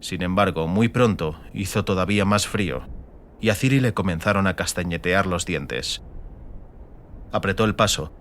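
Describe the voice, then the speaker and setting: Male audiobook narrator, low pitch, dramatic fantasy storytelling, faint rumbling noise behind. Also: a bit bright.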